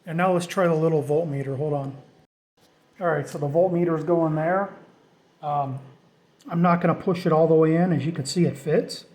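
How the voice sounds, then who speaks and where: A man's voice: on the high side, steady tone, moderate pace, darkish tone, poor audio. A man narrating a do-it-yourself project in a casual, off-the-cuff way, thinking aloud informally as he goes. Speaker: DIY vlogger.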